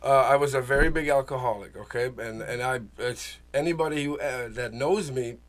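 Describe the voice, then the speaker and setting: A man from the Czech Republic, a native Czech speaker, talking casually as an interview guest, halting, repeating himself and searching for words. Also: medium pitch. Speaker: interview guest, small business owner. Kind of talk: casual, personal interview talk.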